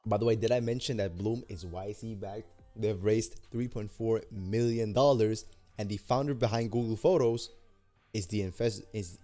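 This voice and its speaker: A man's voice: fairly low pitch, mellow. A young man from Denmark, livestreaming as he demos an app builder, chatting with his viewers in slangy, hyped, repetitive talk. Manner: hyped, slangy, talks to chat, repeats himself. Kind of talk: casual livestream chat with viewers.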